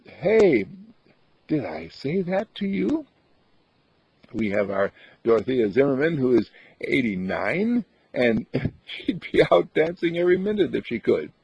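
A man giving a meditation talk, repeating affirmations with lively chuckles. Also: mid-range voice, measured pace, gravelly, pauses often, husky, dry recording. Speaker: meditation teacher. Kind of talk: spiritual talk. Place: outdoors on a path. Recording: dull dry lo-fi recording.

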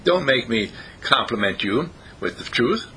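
Man as a female rabbit, storybook tale, flirty, sassy, teasing, folksy, animated pitch, bright. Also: unhurried, a little hiss or hum.

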